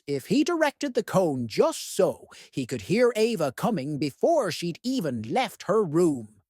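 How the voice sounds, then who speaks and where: Animated, unhurried children's storybook reader. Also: medium pitch, dry recording, studio quality. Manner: expressive, addressing young listeners. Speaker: read-aloud host. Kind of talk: expressive children's storybook read-aloud.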